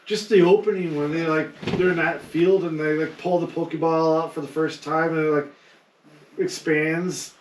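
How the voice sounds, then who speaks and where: A man's voice: a little high, fairly even intonation, normal speed, a little hiss or hum. A man chatting casually and enthusiastically as a podcast co-host. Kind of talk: casual panel chat. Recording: roomy echo, distant microphone.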